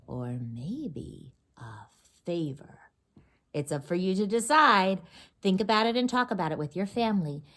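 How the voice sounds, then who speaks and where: A woman reading a children's holiday story aloud, expressive and addressing her young listeners with questions. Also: on the low side.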